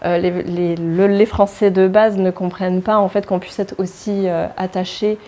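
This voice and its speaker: A woman speaking in a documentary interview, hesitant, with many pauses and fillers. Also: medium pitch, little pitch movement, mellow. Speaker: interviewee, child of immigrants.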